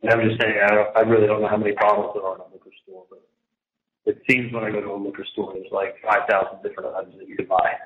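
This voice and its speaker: A man's voice: fairly low pitch, little pitch movement, fast. Muffled echoey jail call, guy rambling and venting, keeps repeating himself, bit of line noise. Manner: emphatic, repetitive, venting, trailing off mid-sentence. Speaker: prisoner on a jail phone call. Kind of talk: casual, rambling private phone conversation. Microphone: telephone handset.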